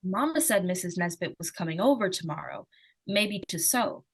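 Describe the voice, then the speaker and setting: A woman's voice: on the low side, animated, moderate pace, breathy edge. A woman acting an inquisitive, innocent-seeming young girl in 1940s radio suspense style, with a hesitant, artless, questioning manner. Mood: wary, innocent.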